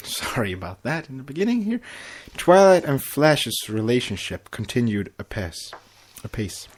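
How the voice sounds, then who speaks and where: A man hosting an informal podcast, reading a story aloud and stumbling over the odd word. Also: mid-range voice. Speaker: podcast host reading fan fiction aloud.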